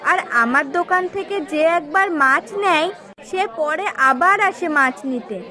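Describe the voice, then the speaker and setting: A woman's voice: high voice, normal speed, pushed and bright, some chatter behind. A woman playing a hardworking, plain-spoken village fish seller and impatient wife in a cartoon, chatty and colloquial. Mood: persuasive. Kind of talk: Bengali fairy tale cartoon dubbing.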